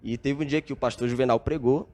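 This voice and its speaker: A young Brazilian man recounts his past in an earnest, reflective tone. He speaks at an ordinary pitch and often drops small filler tags as he goes. It sounds like a relaxed podcast interview. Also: fast talker. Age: young adult. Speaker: podcast guest. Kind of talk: casual storytelling interview.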